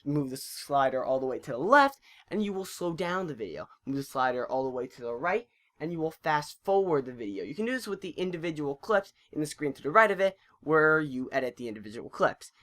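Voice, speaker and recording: Tutorial narrator for a screen recording: informal, chatty, mid-pitched voice, a little stumbling in delivery. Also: animated, a little fast, a bit bright.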